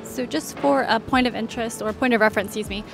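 High-voiced woman guiding a walking tour. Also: quick pace, vocal fry, music in the background. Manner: orderly pointing-out of sights, conversational. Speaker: travel guide and vlog host. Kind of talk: narrated walking tour, casual and informative.

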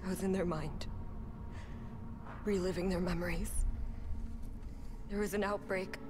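A woman's voice: fairly low pitch, steady tone, unhurried, music in the background, close to an everyday voice. A young woman acting an anguished, determined survivor in a sci-fi horror video game. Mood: haunted. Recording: loud game soundtrack behind.

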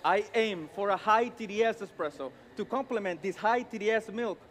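A man in his thirties from Honduras with a Honduran Spanish accent gives a formal, deliberate, rehearsed competition presentation as a barista. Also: high-pitched, a bit bright. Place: large indoor competition arena with empty stands. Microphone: headset. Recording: echoey hall with clear background noise. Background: venue ambience and noise.

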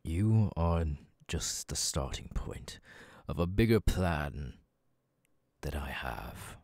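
A man voicing a cold, domineering demon lord in an ASMR audio roleplay, commanding and contemptuous, dropping into breathy murmurs. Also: a bass voice, expressive, slow, a little mellow, dry recording, full character voice. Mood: imperious, threatening.

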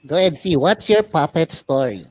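A man's voice: a little high, expressive, moderate pace, a little mellow, no room sound. A man hosting a casual interview show, interjecting with repeated encouragement. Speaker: talk show host.

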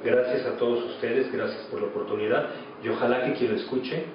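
A man's voice: medium pitch, fairly even intonation, a little fast. A Mexican man in his forties giving reflective answers as an actor being interviewed. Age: 40s. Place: small office indoors. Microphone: camera mic at a distance. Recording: echoey, distant, dull, noisy room.

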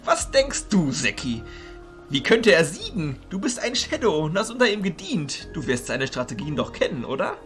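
A man playing an elderly, authoritative arch-chancellor of illusionists in a fantasy audio drama, speaking in a theatrical, deliberate way. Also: on the high side, wildly swooping pitch, a bit bright, soft background music. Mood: musing.

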